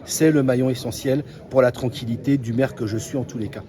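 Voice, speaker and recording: A man speaking as a mayor in a formal interview statement, deliberate, with many pauses. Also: mid-range voice, fairly even intonation. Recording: clear crowd chatter behind the voice. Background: crowd chatter.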